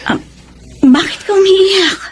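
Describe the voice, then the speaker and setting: A woman with a Filipino accent playing a gentle, forgiving, emotional wife in a radio drama. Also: very high-pitched, slow, a little hiss or hum. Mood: concerned, sad. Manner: soft-hearted, emotional.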